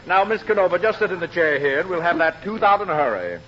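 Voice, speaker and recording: Casting: brisk, jovial radio dentist, very lively intonation, dull vintage sound with faint noise. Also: male voice, sits high. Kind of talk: 1940s radio comedy acting.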